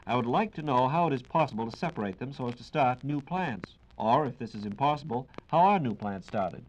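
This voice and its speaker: A man's voice: mid-range voice, lively intonation, fairly quick, highs cut off, slight background noise. An American man hosting a 1940s radio program in a formal, polished and courteous announcing style.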